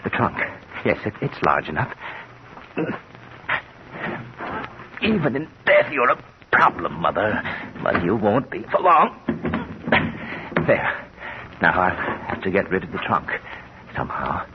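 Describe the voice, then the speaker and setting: An American actor playing a guilty, nervous murderous son-in-law, halting and melodramatic, in a 1950s radio thriller. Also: male voice, deep voice, sing-song. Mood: agitated, brooding. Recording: muffled, hissy vintage radio recording.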